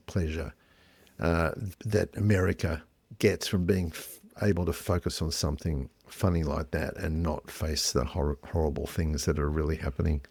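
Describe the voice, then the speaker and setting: A man with a very low voice recounts a story in an easy, chatty way, pausing often for ums and uhs. The sound is close and dry, with almost no room around it. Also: no room sound. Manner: relaxed storytelling with fillers. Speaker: podcast co-host. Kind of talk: casual chat.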